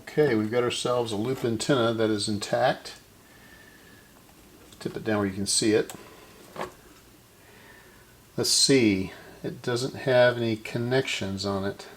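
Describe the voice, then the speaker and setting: A man with a gravelly voice casually narrating his hands-on repair work as host, musing and thinking aloud as he goes. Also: mid-range voice, fairly even intonation, measured pace, pauses often, a little hiss or hum. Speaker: host of a vintage radio repair video.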